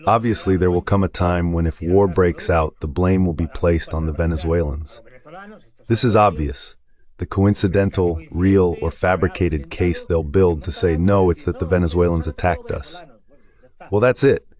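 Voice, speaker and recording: A man's voice: deep voice, steady tone, normal speed, darkish tone. A man speaking as the translated voice-over of a guest analyst, delivering political commentary.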